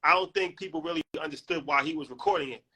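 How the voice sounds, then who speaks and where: A male podcast host tells stories in quick, animated bursts. His voice is fairly high, with a crisp, forceful brightness. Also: low-quality recording. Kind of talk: casual conversation.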